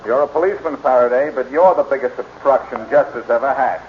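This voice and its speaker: An actor playing a breezy, wisecracking amateur sleuth with an American accent in a 1940s radio detective drama, with a flippant, teasing manner. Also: male voice, medium pitch, fast, lightly acted. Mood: insistent. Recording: dull, noisy 1940s radio recording.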